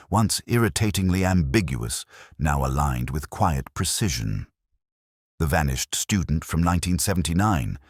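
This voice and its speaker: A man's voice: a bass voice, no echo, studio quality, heavily acted. A man playing a melancholic, introspective police inspector, narrating his case in a solemn, literary way as a scripted detective drama. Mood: somber, resigned.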